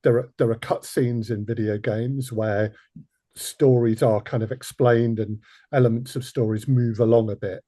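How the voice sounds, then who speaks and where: A man's voice: medium pitch, steady tone, normal speed, warm tone, no room sound, clean studio sound. A man hosting a panel discussion, phrasing his points tentatively and hesitantly as he works through them. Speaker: host.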